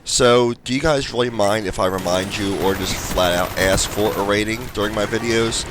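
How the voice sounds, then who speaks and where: A young man with a slightly low, congested voice talks casually through a gameplay video, rambling a little with ums and uhs. Gunfire and explosions from the game are loud behind him. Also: moderate pace, background noise. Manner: chatty, rambling with fillers. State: stuffed up, congested. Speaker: gaming channel host.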